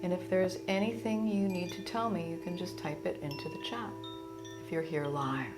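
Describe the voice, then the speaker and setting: Woman, low pitch, soothing guided meditation, gentle instructions, prominent singing bowls and chimes behind. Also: over loud music. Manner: soothing, gentle, unhurried invitations. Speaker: yoga nidra teacher leading guided relaxation. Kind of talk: guided meditation, live online session.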